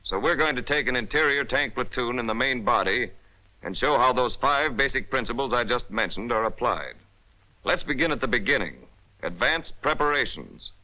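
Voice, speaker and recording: A man's voice: mid-range voice, expressive, normal speed, bright, forceful tone. An American man narrating a 1940s military instructional film in an emphatic, instructional manner with clipped directives. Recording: dull, band-limited 1940s film soundtrack.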